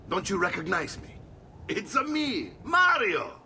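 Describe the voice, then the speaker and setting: An actor playing a jovial, blustering middle-aged Italian uncle in a video game, with an Italian accent and a hearty, theatrical manner. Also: male voice, high-pitched, huge pitch swings, unhurried, sharp tone, slight background noise, exaggerated voice. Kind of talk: video game cutscene acting.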